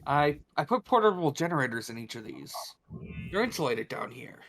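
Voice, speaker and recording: A man playing a practical, gruff spaceship tinkerer in a sci-fi script table read. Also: a little high, big pitch swings, fairly quick, a bit bright, exaggerated voice. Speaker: performer in a podcast table read.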